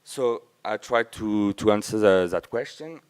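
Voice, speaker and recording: Male conference speaker, native French, French accent, low-pitched smooth voice, halting non-native delivery, searches for words. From France. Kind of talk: informal conference presentation in non-native English. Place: large auditorium with a few listeners, speaker at the front.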